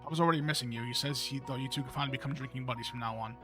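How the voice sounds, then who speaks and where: A man's voice: medium pitch, fairly even intonation, very fast, lightly acted. A man reading the lines of a sad, wistful small boy in a plain read-aloud manner. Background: game music.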